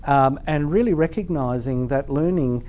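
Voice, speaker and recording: A man's voice: on the high side, moderate pace, dark tone, dull audio. A middle-aged man presenting a workshop at a teachers' forum, speaking thoughtfully with frequent ums and restarts. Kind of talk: conference workshop talk. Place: lecture room at a teachers' forum.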